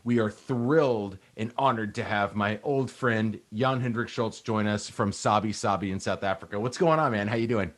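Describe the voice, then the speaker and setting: A man with a fairly low voice hosts a casual interview podcast. His delivery is informal and slangy, like chatting with an old friend. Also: fairly even intonation, normal speed, few pauses. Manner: informal, slangy, mild swearing. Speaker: podcast host.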